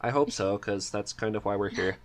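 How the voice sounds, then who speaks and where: A man's voice: on the low side, fairly quick. A man hosting a casual interview chat, with a lightly teasing, dryly joking manner. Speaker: podcast host, interviewer.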